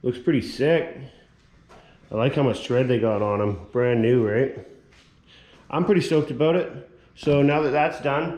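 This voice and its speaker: A man casually narrating his repair work in a workshop, half muttering to himself as he works, a little hoarse. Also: mid-range voice, reverberant. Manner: relaxed, mutters quietly while working. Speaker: vlogger working on a small motor vehicle.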